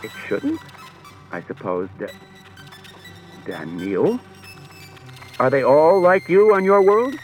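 A man's voice: a little high, huge pitch swings, slow, pauses often, a bit bright, faint music behind, pitched above the performer's own voice, full character voice, poor audio. A man doing a flustered, anxious detective.

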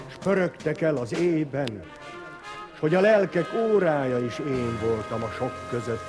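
A man recites dramatic poetry in a theatrical, weary and brooding manner, voicing a bitter old clock that has kept watch for centuries. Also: mid-range voice. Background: music.

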